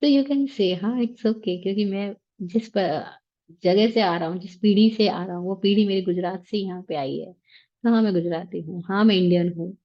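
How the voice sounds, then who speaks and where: Woman teaching scripture, chatty and questioning. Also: medium pitch. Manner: conversational, rhetorical questions, mixes English phrases. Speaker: Jain religious teacher. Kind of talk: lecture on scripture. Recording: slightly muffled, lo-fi.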